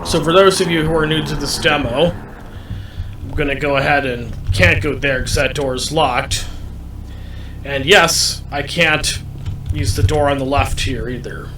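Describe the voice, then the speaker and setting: A man giving a gameplay walkthrough, deliberately explaining things to viewers and often repeating himself. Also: a little high, fairly even intonation. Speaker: gamer commentating a playthrough. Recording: roomy, distant mic, faint background noise.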